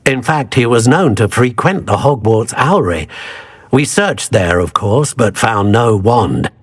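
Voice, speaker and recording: An actor playing a courteous elderly male wandmaker in a video game, with a British accent and a genteel, expressive, wistful manner. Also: mid-range voice, a little slow, breathy edge. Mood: musing.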